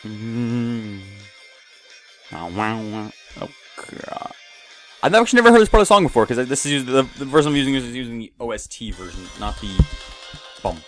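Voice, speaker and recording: A man's voice: mid-range voice, animated, rapid-fire, few pauses, a bit bright. A man giving casual, off-the-cuff commentary on a video game, rambling and jumping between asides as the host. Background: game music.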